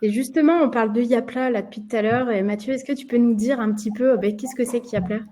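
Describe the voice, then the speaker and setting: A woman's voice: on the high side, fast talker, mellow. Hesitant French woman hosting a webinar. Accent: French (France). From France. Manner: chatty, many hesitations and fillers. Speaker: marketing presenter hosting a webinar. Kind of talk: live webinar presentation.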